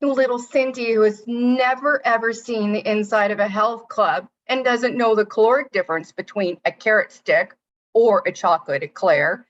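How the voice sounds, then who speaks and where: A woman's voice: on the high side, dull audio. A woman performing a comic stage monologue as a vain, jealous stepsister, sarcastic and indignant, with exaggerated emphasis. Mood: indignant, sulky.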